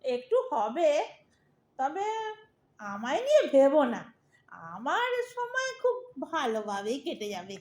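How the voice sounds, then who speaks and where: High-pitched, blithe, teasing old wife played by a woman. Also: very animated, slow pace, long pauses, exaggerated voice. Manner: nonchalant, mock-sweet. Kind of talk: comic audio drama.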